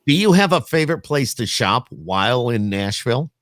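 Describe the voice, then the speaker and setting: A man hosting a podcast, chatting casually in an animated, joking, folksy manner. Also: medium pitch, moderate pace, no echo, clean studio sound. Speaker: podcast host. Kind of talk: casual interview chat.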